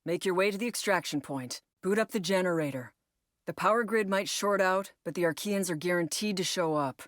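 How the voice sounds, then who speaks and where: A woman playing a composed female operations agent in a video game, briefing a squad in a measured, matter-of-fact way. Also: on the low side, dry recording, studio quality, lightly acted. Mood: focused, instructive. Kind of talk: scripted video game voice lines.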